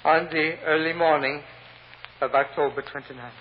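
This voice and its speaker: A man playing a humble, earnest immigrant grocery clerk with a Spanish accent, testifying in halting, deferential broken English in a vintage radio drama. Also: a little high, steady tone, lightly acted. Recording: muffled old radio recording, hiss, long reverb.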